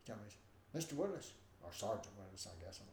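An older man with a nasal voice, telling stories in an animated way as a guest in a conversation. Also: fairly low pitch, quick pace, a little hiss or hum. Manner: animated, acts out quoted voices. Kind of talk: storytelling in a conversation. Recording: roomy with faint ambience.

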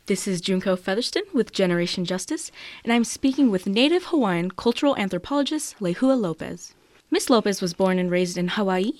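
A woman's voice: mid-range voice, a little mellow, dry recording. Polite young female interviewer, dry sound. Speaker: youth producer and interviewer. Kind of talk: prepared radio interview.

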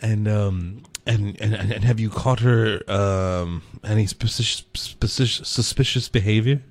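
A man's voice: fairly low pitch, fairly even intonation, unhurried, gravelly, no room sound. A man co-hosting a comedic radio show, playfully reacting to callers. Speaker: radio host.

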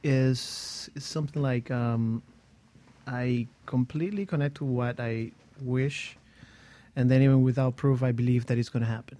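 A man's voice: medium pitch, steady tone, normal speed, warm tone. A man co-hosting a motivational talk show, speaking hesitantly with frequent restarts and unusual phrasing. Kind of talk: casual talk show discussion.

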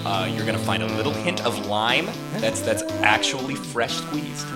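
Woman playing a very low-voiced flustered, eager server. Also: animated, loud music behind the voice. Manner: halting, over-polite, stammering. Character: eager, flustered server reciting specials at beach bar. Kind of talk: improvised comedy radio theater.

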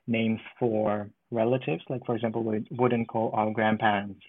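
Man, from Ukraine, interview guest, measured thoughtful talk, phone-like sound, dry. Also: on the low side, little pitch movement, no echo. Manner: thoughtful, measured, with frequent pauses and fillers. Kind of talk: conversational interview. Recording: tinny phone-like line.

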